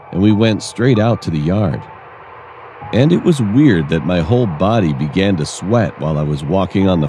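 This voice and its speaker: A man with a low, deep voice narrates a scripted horror story in the first person. His tone is dark and mellow, and he tells it in a measured, dramatic way, with music underneath.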